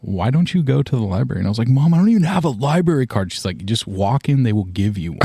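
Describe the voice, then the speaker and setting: A man co-hosting a podcast in casual chat, an animated storyteller who laughs loudly. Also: mid-range voice, quick pace, gravelly, slightly rough, no echo, clean studio sound. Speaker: podcast co-host.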